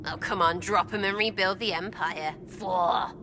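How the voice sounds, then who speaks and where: A woman's voice: lowish voice, a little slow, background noise, natural voice. A woman playing a brash, mocking young elf rogue in a video game, cheeky, blunt and slangy. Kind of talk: dramatic video game acting.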